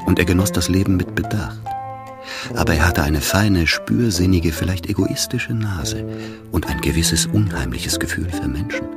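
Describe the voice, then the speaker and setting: A man's voice: deep voice, normal speed. A man narrating a literary radio drama in scripted, storytelling prose. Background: music.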